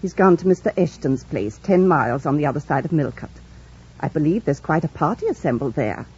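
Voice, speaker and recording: Husky, chatty older housekeeper. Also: female voice, deep voice, expressive, a little fast, a little mellow, heavily acted. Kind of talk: 1940s radio drama acting. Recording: dull old radio recording.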